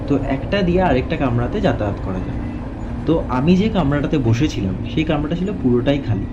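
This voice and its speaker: A man narrating a first-person horror story in a dramatic, suspenseful way, with deliberate phrases broken by pauses. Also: mid-range voice, lo-fi sound, noisy background.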